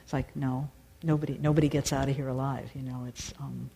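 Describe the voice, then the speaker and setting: A woman meditation teacher and singer answering audience questions after a dharma talk, thoughtful and searching, with many pauses and restarts. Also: extremely low pitch, level tone, vocal fry, dark tone, poor audio.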